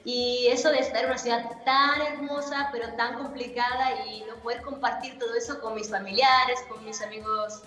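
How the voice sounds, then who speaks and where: A woman in her thirties from Italy, a guest speaking Spanish over a video call, warm and reflective. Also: a little high, fairly even intonation, moderate pace, few pauses, faint music behind. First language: Italian. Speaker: guest singer. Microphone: laptop video call. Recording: roomy video call audio.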